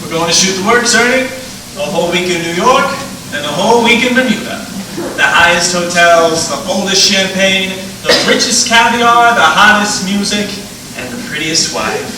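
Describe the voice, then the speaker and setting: A man's voice: sits high, animated, normal speed. An American man playing an earnest, eager young newlywed in emphatic, old-fashioned 1940s radio style. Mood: boyish enthusiasm. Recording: distant echoey stage recording with noise.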